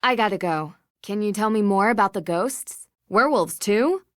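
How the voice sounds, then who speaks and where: A woman's voice: mid-range voice, lively intonation, normal speed, no echo, clean studio sound, natural voice. A woman voicing a young American private investigator in a video game, friendly, earnest and inquisitive. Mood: polite, inquisitive. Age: young adult. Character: polite, inquisitive young woman detective, eager and considerate. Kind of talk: scripted video game dialogue.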